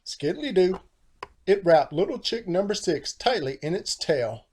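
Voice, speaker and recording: A man reading a children's storybook aloud in a theatrical, animated style with rhyming phrasing. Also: sits high, measured pace, breathy edge. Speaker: storybook narrator, author.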